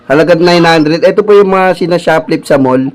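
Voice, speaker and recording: A man's voice: fairly high pitch, a little fast, noisy background. A Filipino man on a casual prank call, posing as a delivery rider with polite, hesitant patter. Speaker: prank-calling vlogger. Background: music.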